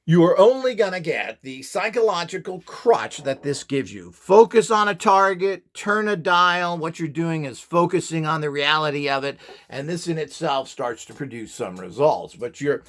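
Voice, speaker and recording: A man's voice: fairly high pitch, lively intonation, moderate pace, a bit bright. A man instructor speaking informally as he demonstrates, with a blunt, opinionated and emphatic manner. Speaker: instructor selling courses and devices.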